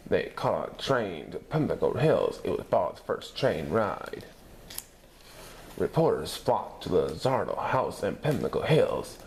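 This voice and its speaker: A man reading a children's picture book aloud in an animated storytelling manner, putting on voices for characters. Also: lowish voice, gravelly, slight background noise. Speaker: story reader.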